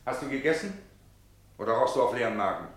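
A man's voice: mid-range voice, expressive, fast, echoey room, natural voice. A male actor playing a bitter, sarcastic middle-aged businessman husband in a classic radio play, brooding and full of rhetorical questions. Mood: weary.

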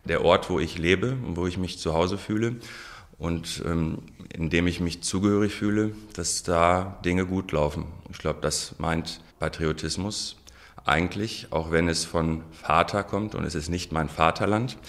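A very low-pitched man in his forties answers questions on a radio interview. He thinks out loud, pausing on frequent ums and circling back to restart his sentences. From Germany. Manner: hesitant, many ums, restarts. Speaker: interview guest, sociologist.

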